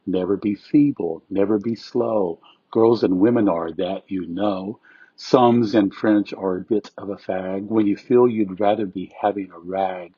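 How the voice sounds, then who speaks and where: A man's voice: on the low side, fairly even intonation, measured pace, breathy edge, no echo. A man presenting and reading rhymed poetry aloud, with short introductions, on a dull lo-fi recording.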